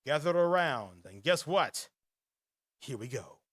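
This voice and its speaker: A man with a fairly high, bright voice hosts a casual podcast in a booming, radio-style delivery. His pitch swings all over as he rambles through news bits and reads quiz questions aloud. He laughs a lot along the way. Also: animated, a little slow. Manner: chatty, rambling, laughs often. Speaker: podcast host.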